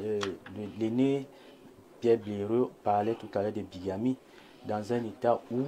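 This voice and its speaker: A man with a Cameroonian accent speaks in a soft-edged, fairly low voice over slight ambient noise. He analyses as a panelist would, choosing formal words in a measured way. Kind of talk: analytical debate commentary. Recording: clear background noise.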